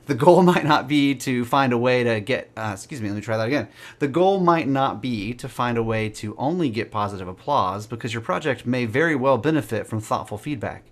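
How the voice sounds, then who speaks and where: A man's voice: medium pitch, lively intonation, fairly quick, few pauses. A man co-hosting a podcast in an easygoing, joking way, reading a short blog post aloud. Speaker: podcast co-host.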